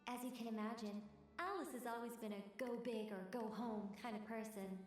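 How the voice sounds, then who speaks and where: A very echoey, slightly high young female game character acted by a woman. She chats and explains easily, with long hall reverb around her. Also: fairly quick, faint music behind, lightly acted. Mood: amused. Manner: conversational, explanatory. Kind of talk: video game voice acting.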